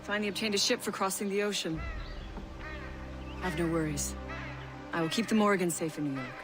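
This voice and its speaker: Actress as brisk, sharp female assassin. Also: on the low side, close to an everyday voice. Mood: composed. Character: cool, sharp, self-assured female assassin associate. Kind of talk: video game cutscene acting. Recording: cinematic music behind.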